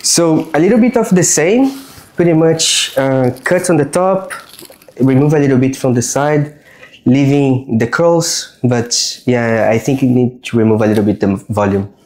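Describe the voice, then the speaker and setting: A man in his thirties from Brazil with a Brazilian accent, talking about his design work in a relaxed, earnest way while getting a haircut. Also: mid-range voice, moderate pace. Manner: relaxed, earnest, restarts words while explaining. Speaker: guest, design strategist. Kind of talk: casual chat during a haircut. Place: barbershop.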